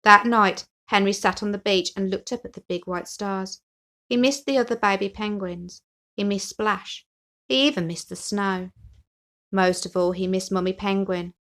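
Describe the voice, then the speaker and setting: Lady reading a kids story out loud, expressive storybook narration, mid pitched voice. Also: moderate pace. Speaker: story reader.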